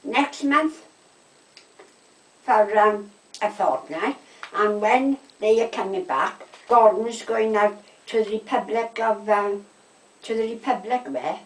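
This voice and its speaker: An older woman with a South Wales Valleys accent, chatting casually and telling anecdotes in an animated way. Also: mid-range voice, slow pace, long pauses. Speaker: storytelling interviewee. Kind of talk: casual chat, personal anecdotes. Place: indoors at a house. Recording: roomy echo, distant microphone.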